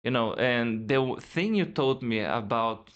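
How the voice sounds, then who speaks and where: A man's voice: medium pitch, fairly bright tone, muffled recording. A Brazilian podcast host speaks English with a Brazilian Portuguese accent. He keeps the interview casual and friendly, teasing and joking with his guest in a mid-range voice. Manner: friendly, joking, quick to laugh along.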